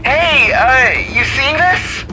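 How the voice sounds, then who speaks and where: A man puts on a young woman calling mid-crisis, short of breath and nervous. The voice sits high and sharply bright. Soft music runs underneath. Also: lively intonation, slow pace, exaggerated voice, poor audio.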